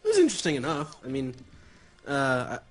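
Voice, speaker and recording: A man with a husky voice in casual conversation as co-host of a gaming show. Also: medium pitch, wildly swooping pitch, fairly quick.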